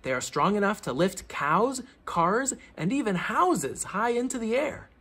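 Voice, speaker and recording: A man with a fairly high, husky voice reads aloud for children. His pitch swings in a very lively way, with theatrical emphasis and teacherly, explanatory phrasing. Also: unhurried. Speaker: children's book reader, teacher. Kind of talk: expressive read-aloud of a children's science book.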